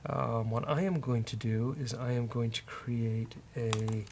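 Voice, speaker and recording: A man talks through live coding in a casual, joking way, as a host thinking aloud. His voice has a gravelly creak and sits at a middling pitch, with typing, clicks and faint noise around it. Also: steady tone, a little slow.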